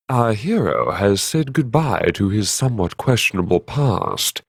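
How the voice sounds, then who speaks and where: A man playing a wry, self-mocking university vice-chancellor narrating his memoirs in a gravelly, husky voice, savouring his words with arch, dramatic pauses. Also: on the low side, dry recording, clean studio sound, full character voice. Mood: arch, confiding. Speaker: narrator of a serialised audio story. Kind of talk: theatrical first-person audiobook narration.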